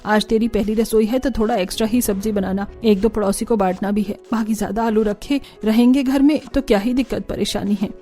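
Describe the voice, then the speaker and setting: A woman voicing a bossy, boastful middle-aged mother-in-law in a cartoon, brisk and commanding. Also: mid-range voice, fairly even intonation, dark tone, soft background music, close to an everyday voice. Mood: instructing.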